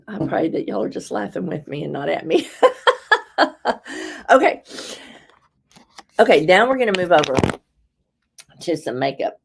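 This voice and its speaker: Casting: woman host, low and a touch raspy, chatty and rambling. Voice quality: slightly raspy. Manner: chatty, rambling, laughs often, warm asides to viewers. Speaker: host presenting beauty products. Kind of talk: casual live product demo.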